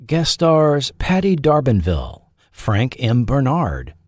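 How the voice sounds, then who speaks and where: A man narrates a scripted documentary with deliberate care. His pitch moves expressively while the pace stays slow, and the recording is close and dry. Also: wildly swooping pitch, a little mellow, no room sound. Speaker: podcast host and narrator.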